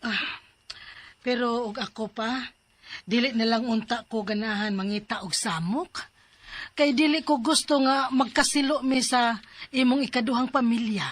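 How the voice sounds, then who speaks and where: A woman's voice: a little high, unhurried, no room sound. A woman playing a worried, peace-loving middle-aged mother in an audio drama. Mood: worried, pleading.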